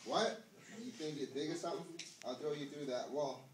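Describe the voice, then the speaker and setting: A man's voice: fairly high pitch, brisk, lightly acted. Young man as needling college buddy, echoey room. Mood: angry. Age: young adult. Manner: slangy, needling. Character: teasing, combative male college buddy. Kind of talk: amateur radio drama read-through. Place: classroom, indoors. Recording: echoey, noisy room, distant mic.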